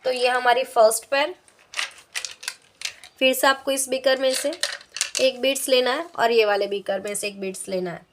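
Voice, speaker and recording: A woman with a high-pitched voice teaches a practical science lesson step by step. She speaks instructionally, repeating points for her students. The recording carries some faint background noise. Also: steady tone, moderate pace, long pauses. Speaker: biology teacher.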